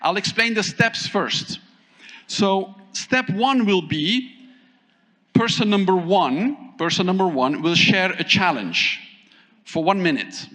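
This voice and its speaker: A man with a fairly high voice is giving a conference keynote on stage, talking with lively energy and often pausing to put questions to the audience. His voice rings out through a large hall with a long, lingering reverb. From Thailand. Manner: animated, asks the audience questions, pauses often. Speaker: keynote speaker.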